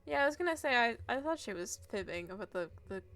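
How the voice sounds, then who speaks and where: A woman's voice: a little high, expressive, fast, a bit bright, a little hiss or hum. A woman livestreaming a game with casual, hesitant, rambling commentary.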